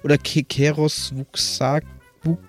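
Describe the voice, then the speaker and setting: Casual man, slightly high voice. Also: flat delivery, measured pace, soft background music. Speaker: podcast player creating a character. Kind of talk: casual group chat.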